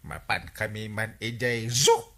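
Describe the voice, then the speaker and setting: A male actor playing an excitable, whiny village captain in an Ilocano radio comedy drama, exclamatory and hesitant, with an Ilocano accent. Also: mid-range voice, drawn-out words, heavily acted. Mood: breezy.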